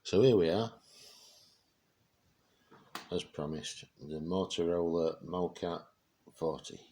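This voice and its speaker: A man giving a casual, unscripted product demonstration, speaking haltingly as he searches for words. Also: deep voice, mellow, a little hiss or hum. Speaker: presenter showing vintage radio gear.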